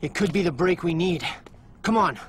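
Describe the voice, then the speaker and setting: A man's voice: high-pitched, normal speed, a little hiss or hum, close to an everyday voice. A man voicing a wry, sardonic hired hunter in a video game, with a dry, teasing manner. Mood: matter-of-fact.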